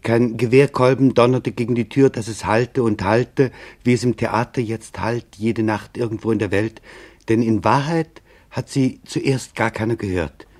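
A man narrating a documentary radio drama in a sober, deliberate, measured manner. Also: mid-range voice, steady tone, a little mellow.

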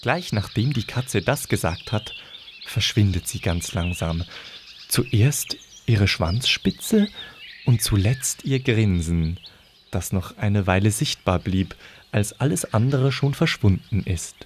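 A man's voice: fairly low pitch, sing-song, slow, a little hiss or hum. A man narrating a scripted fairy tale as the storyteller of an audio drama.

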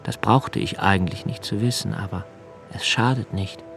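An actor playing an anxious, observant young poet narrating a radio play in a slow, hushed, intimate way, sometimes sinking to a near whisper. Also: male voice, sits low, gravelly, soft background music. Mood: brooding. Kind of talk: literary radio drama reading.

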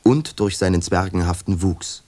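A man's voice: deep voice, normal speed, dark tone, natural voice. A man narrating a radio play in a sober, detached manner with long winding sentences.

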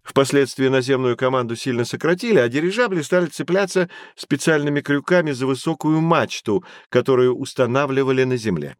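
An actor playing a knowledgeable man who guides children through an educational audio drama, explaining things in a clear didactic way. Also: mid-range voice, fairly quick, few pauses, no room sound, clean studio sound, natural voice. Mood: patient, explanatory. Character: knowledgeable male teacher guiding children on adventures. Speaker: guide explaining history and technology.

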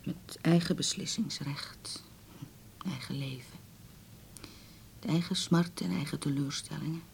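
An actress playing an anxious, loving older mother in a radio drama, speaking in breathy, theatrical phrases. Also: low-pitched, measured pace, in a whisper. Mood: brooding.

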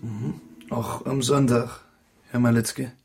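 Male official, radio drama: husky, even-toned, stern, formal, dutiful. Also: mid-range voice, slow pace, full character voice. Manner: formal, firm. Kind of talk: German radio drama dialogue.

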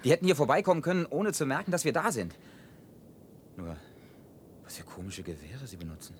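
A man's voice: medium pitch, expressive, a little fast, a little hiss or hum, natural voice. An actor playing a tense, commanding and bitterly sarcastic man facing deadly danger in a science-fiction radio drama. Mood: excited.

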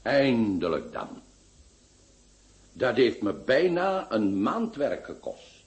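A man's voice: mid-range voice, huge pitch swings, slow, a little mellow, faint music behind, heavily acted. A grandiloquent, eccentric doctor for a radio play. His voice leaps wildly up and down as he lectures and scolds. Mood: lecturing. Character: pompous eccentric male doctor, theatrical. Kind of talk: radio drama acting.

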